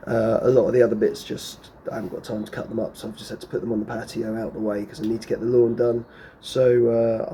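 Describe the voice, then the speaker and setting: A hoarse man talks casually to camera outdoors, his voice worn and close to giving out. He rambles, trailing off and restarting with plenty of fillers. His tone stays flat and even, with a dark, mellow timbre. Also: mid-range voice, a little hiss or hum. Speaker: lawn care vlogger. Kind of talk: casual vlog talk to camera.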